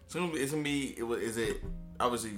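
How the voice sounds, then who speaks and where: A man hosting a casual interview, backing the guest with short eager agreement and quick questions. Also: mid-range voice, fairly bright tone, faint music behind. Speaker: podcast host.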